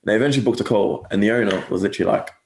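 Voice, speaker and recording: Low gravelly man with a mixed English-Australian accent. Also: racing, a little mellow, echoey room, a little hiss or hum. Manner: rambling, self-correcting, lots of fillers. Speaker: guest agency owner. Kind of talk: casual interview chat.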